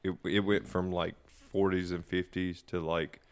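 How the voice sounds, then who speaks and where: Deep gravelly Southern man telling stories. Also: unhurried. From eastern North Carolina. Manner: rambling, profane, animated storyteller. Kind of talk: casual storytelling chat.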